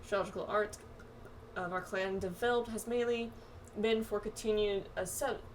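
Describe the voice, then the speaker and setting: A woman's voice: medium pitch, steady tone, moderate pace, a little hiss or hum, natural voice. Woman reading as a wistful young fantasy clanswoman, slightly distant. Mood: curious, teasing. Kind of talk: reading game dialogue aloud.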